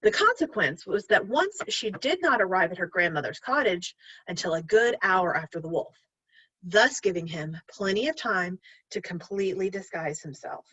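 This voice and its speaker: A woman's voice: fairly low pitch, animated, moderate pace, sharp tone, slightly rough, no room sound, highs cut off. A woman reading a children's story aloud expressively, like a teacher addressing pupils.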